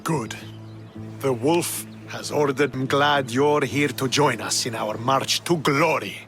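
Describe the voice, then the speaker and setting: A man's voice: mid-range voice, slow pace, a bit bright, soft background music. An actor playing a proud, stern male Spartan war commander in a video game, stately and martial. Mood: proud, commanding. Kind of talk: dramatic game cutscene acting.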